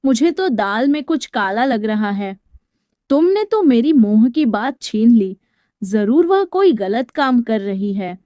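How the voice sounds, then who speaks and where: A woman's voice: on the high side, very animated, slow pace, dark tone, no room sound, studio quality. A woman voicing a gossiping, suspicious housemaid from the neighbourhood in a dramatised story, full of insinuation. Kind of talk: dramatised Hindi moral storytelling.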